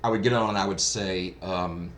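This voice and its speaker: A man's voice: low-pitched, steady tone, normal speed, a bit bright. A man giving a casual first-hand review in a chatty, enthusiastic, anecdotal way. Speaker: hobbyist product reviewer. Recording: clearly noisy background ambience.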